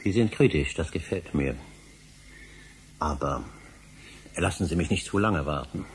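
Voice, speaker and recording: A man's voice: a bass voice, measured pace, a little hiss or hum. An actor playing an obsessive middle-aged male scholar in a German radio drama, speaking emphatically and rhetorically. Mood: courteous, confiding. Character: obsessive older male scholar, insistent and indignant.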